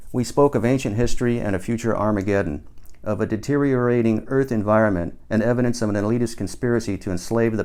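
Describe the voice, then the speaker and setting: A man's voice: lowish voice, a little mellow, smooth. A man in his sixties reading his own book aloud as first-person audiobook narration.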